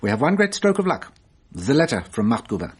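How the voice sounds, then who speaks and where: An actor playing a shrewd, self-assured French detective in a radio drama, musing deliberately with wry amusement. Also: male voice, medium pitch, big pitch swings, breathy edge. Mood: musing, animated.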